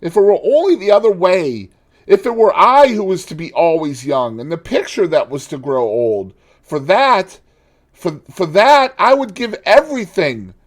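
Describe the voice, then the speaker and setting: Man doing a vain young man, high strained voice, lively pitch, impassioned, storybook reading. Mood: anguished. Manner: impassioned, pleading, high and drawn-out. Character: anguished vain young man, beautiful youth.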